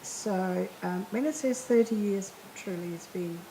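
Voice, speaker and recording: A woman in her seventies from Indonesia, introducing herself at a panel in a reflective way with soft laughs. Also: mid-range voice, a little slow, reverberant, slight background noise, low-quality recording. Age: 70s. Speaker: panel speaker.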